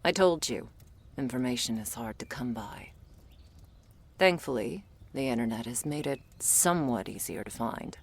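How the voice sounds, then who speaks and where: A woman playing a gruff, world-weary female monster hunter in an ASMR audio roleplay, curt, sardonic and bossy as she addresses the listener. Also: lively intonation, moderate pace, full character voice. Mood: dry, matter-of-fact. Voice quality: low, husky, breathy with gravelly creak.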